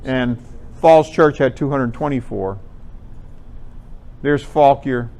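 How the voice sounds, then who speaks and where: Male presenter, clean clear tone, mid-range pitch. Informal, conversational explainer addressing a group. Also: takes it slowly, darkish tone. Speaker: presenter at an amateur radio club talk.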